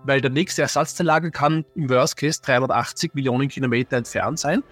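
A man's voice: medium pitch, fairly quick, a bit bright, soft background music. A man giving an interview answer, thinking aloud with restarts as he explains. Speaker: interviewed expert.

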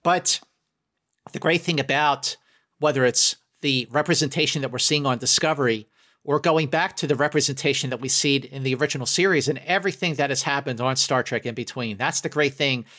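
A man's voice: mid-range voice, a little fast, sharp tone. A middle-aged man speaking as a guest critic in a podcast discussion, effusive and emphatic, often repeating words to drive a point. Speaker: guest film critic and entertainment journalist.

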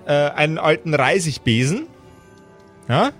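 A man narrating an improvised tabletop role-play as game master, with deliberate, theatrical description. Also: fairly high pitch, sing-song, a bit bright. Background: faint music.

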